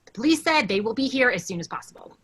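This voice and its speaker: A woman's voice: on the high side, expressive, quick pace, fairly bright tone, slightly rough. A woman acting a short-fused human resources officer, curt and defensive, in a staged play reading.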